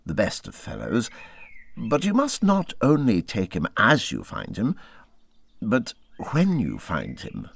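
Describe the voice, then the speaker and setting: A man's voice: fairly low pitch, wildly swooping pitch, takes it slowly, creaky, husky, no echo, heavily acted. A man voicing a cautious Water Rat in a storybook, fussily reassuring and evasive. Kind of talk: storybook character voice.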